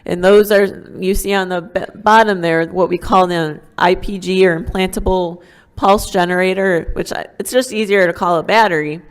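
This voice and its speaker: A woman's voice: on the low side, fairly even intonation, normal speed, clear, resonant tone. A woman neurologist giving a lecture to patients at a conference, explaining plainly and in a friendly way with frequent ums. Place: conference hall.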